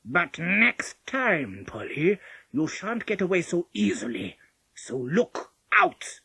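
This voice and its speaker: A woman's voice: medium pitch, sing-song, measured pace, fairly bright tone, breathy edge, dry recording, voice pushed up, full character voice. A woman as a harsh, blustering storybook wolf. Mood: pleading, grudging. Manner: blustering, plaintive, emphatic. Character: scheming, gullible, easily exasperated male storybook wolf.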